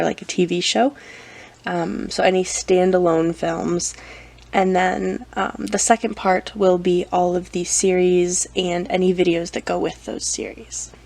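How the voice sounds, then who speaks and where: Woman with a cold, gravelly voice. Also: on the low side, a little mellow. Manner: chatty, with frequent ums and self-corrections. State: has a cold. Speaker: collector showing her anime collection. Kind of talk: casual show-and-tell vlog.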